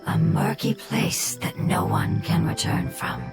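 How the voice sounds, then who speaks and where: A wise ancient creature. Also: female voice, sits low, steady tone, creaky, exaggerated voice. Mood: solemn. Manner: measured, formal and philosophical. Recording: game audio with loud music. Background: game music.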